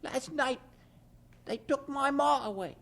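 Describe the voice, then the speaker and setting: An actor playing a poor, downcast but stubborn schoolboy in a radio drama, with an English accent and halting, uneducated street speech. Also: high-pitched, fairly bright tone, heavily acted. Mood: sad, resigned. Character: poor schoolboy, downcast, stubborn yet polite, facing the almshouse.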